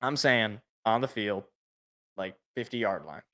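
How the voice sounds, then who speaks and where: A man with a loud, worn-out voice talks sports in casual podcast chat. He gets excited and trips over repeated words, his tone bright and his pitch swinging widely. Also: slow. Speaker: podcast co-host.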